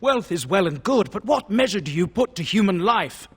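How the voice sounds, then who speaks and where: A man's voice: high voice, very animated, moderate pace, fairly bright tone, husky, exaggerated voice. An actor playing an earnest, indignant lord in a fantasy game, formal and impassioned, with long hall reverb on his voice. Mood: indignant, pleading. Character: earnest, indignant lord pleading for starving peasants. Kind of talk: scripted fantasy game dialogue.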